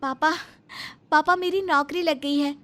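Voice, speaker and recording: A woman playing an assertive, ambitious young daughter in an animated moral story. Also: high voice, a little slow. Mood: excited.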